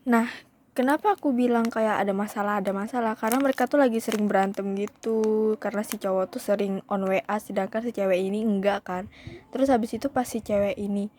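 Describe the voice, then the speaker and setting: A woman's voice: mid-range voice, steady tone, brisk, a little mellow, slight background noise. A young woman vlogger telling a storytime in a casual, chatty way, often calling her viewers "guys" and slipping into English phrases.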